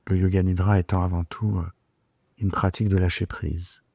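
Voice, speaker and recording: Deep, soft, husky man guiding meditation. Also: flat delivery, no echo. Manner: soothing, unhurried, hesitant with long pauses. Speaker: yoga nidra guide. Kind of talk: guided sleep meditation. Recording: soft guitar music behind the voice. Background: gentle plucked guitar music.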